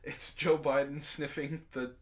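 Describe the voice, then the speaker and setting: A man's voice: fairly high pitch, flat delivery, a little slow. A man hosting a casual online chat, reading messages and memes aloud and reacting in a giggly, profane way.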